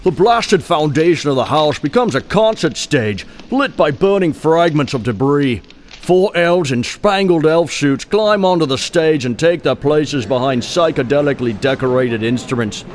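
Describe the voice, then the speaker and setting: Higher-voiced man narrating with faint noise. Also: animated, moderate pace. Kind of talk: scripted audio play narration.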